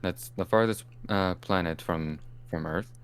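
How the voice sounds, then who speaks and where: A man playing a mild, hesitant angel, a calm peacemaker in improvised comedy, speaking haltingly as he searches for words. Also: low voice, smooth, no echo. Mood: thoughtful. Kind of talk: improvised comic role-play.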